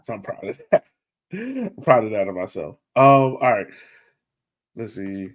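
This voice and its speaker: A man's voice: on the low side, wildly swooping pitch, a little slow. A man hosting a sports podcast, talking in an informal, chatty, conversational way. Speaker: podcast host. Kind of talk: casual sports podcast chat.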